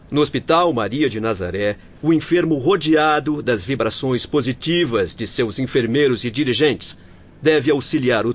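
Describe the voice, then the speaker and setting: An actor playing a stern, measured hospital doctor in a Brazilian radio drama, formal and instructive. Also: male voice, a little high. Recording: muffled radio drama recording.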